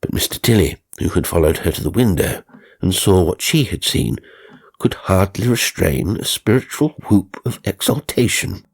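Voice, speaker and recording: A man narrating a scripted ghost story as an audiobook reader, in a theatrical, measured storytelling manner. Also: sits low, lively intonation, vocal fry, breathy edge.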